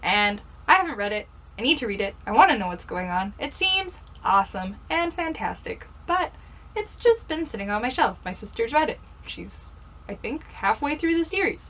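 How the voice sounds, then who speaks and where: High-voiced young woman, playful and self-deprecating. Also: very animated, normal speed, pushed and bright, poor audio. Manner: self-deprecating, playful, rhetorical questions to herself. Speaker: book vlogger. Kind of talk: casual chatty vlog to camera. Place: bedroom.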